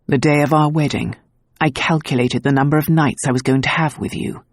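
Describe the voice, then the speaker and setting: A man reading an audiobook, voicing a gruff, protective nobleman husband in a low, tender voice. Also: brisk, no room sound, studio quality. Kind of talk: audiobook dialogue.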